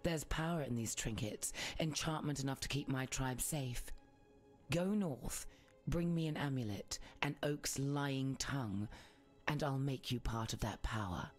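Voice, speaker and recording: Guy doing a smooth-tongued menacing tribe boss for a game, medium pitch, faint music. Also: lightly acted. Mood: coaxing, scheming. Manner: insinuating, deliberate. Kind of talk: video game character monologue.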